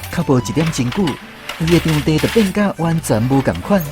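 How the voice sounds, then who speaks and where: Guy playing a troupe director looking back on old times, taiwanese accent, really lively intonation, storytelling radio drama, music under it. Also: a little high, brisk, lightly acted. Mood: reflective. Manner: storytelling, reminiscent. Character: reflective male troupe director recalling touring days. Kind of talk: scripted radio drama narration.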